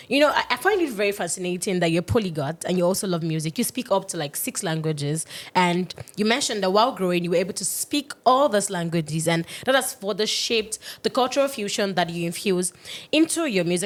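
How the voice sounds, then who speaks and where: A woman hosting a podcast interview, enthusiastic, asking questions and restating them. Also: mid-range voice. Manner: enthusiastic, repeats questions, frequent affirmations. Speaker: podcast host.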